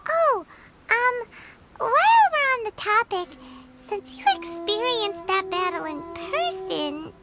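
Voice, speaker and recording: A woman's voice: very high voice, sing-song, slow pace, over music, heavily acted. A woman voicing a small, chatty, childlike female companion creature in a video game, with a chirpy, casual manner.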